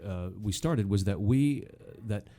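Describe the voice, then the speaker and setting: A man's voice: lowish voice, animated, unhurried, mellow. A man co-hosting a podcast, giving casual conversational commentary in a hesitant, explanatory storytelling way. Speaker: podcast co-host.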